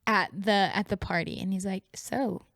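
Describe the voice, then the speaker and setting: A woman's voice: mid-range voice, fairly even intonation, normal speed, creaky, a bit bright, no echo, clean studio sound. A woman co-hosting a podcast in a casual brainstorming chat, slipping into imagined dialogue as she explains ideas. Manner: conversational, acts out imagined dialogue. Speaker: podcast co-host.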